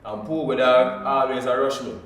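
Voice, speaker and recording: A man's voice: mid-range voice, steady tone, moderate pace, a crowd in the background. Jamaican man in a very echoey room. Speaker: pantomime performer, podcast guest. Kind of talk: casual group chat in Patois. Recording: echoey room, faint noise.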